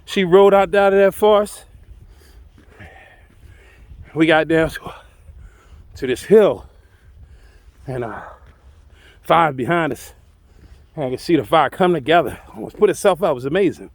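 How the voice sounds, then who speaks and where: A man's voice: fairly high pitch, animated, normal speed, pauses often, no echo. A man telling a first-person tall tale in a colloquial, exclamatory, dramatic way. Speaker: storyteller.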